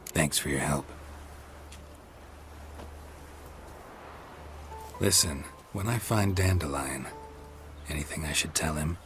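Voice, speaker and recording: An actor playing a stoic, laconic monster hunter in a fantasy video game, speaking in a terse deadpan. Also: male voice, a bass voice, normal speed. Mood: matter-of-fact. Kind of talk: video game dialogue acting. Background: music.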